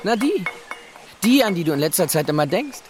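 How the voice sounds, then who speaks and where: Radio play: sly, insinuating journeyman, animated and needling, noisy clinking backdrop. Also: high-pitched, a little fast. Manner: teasing, insinuating. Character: sly, prying male mill journeyman, needling and falsely helpful. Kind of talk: German radio play acting.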